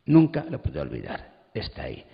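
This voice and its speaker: Echoey muffled hall, man, medium pitch, wry theatrical storytelling, trailing phrases. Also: wildly swooping pitch, a little fast, gravelly. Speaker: radio drama panelist. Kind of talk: storytelling talk on a panel. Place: large hall at a congress. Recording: muffled, long hall reverb.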